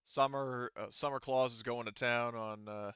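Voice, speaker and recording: Bright-voiced, informal man as game master. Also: mid-range voice, steady tone, moderate pace, smooth, no echo, highs cut off. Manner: informal, profane. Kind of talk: casual tabletop game refereeing.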